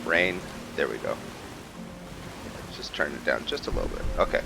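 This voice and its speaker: A man's voice: a bass voice, very animated, normal speed, gravelly, music in the background, low-quality recording. A man hosting a gaming video, giving casual let's-play commentary as he plays.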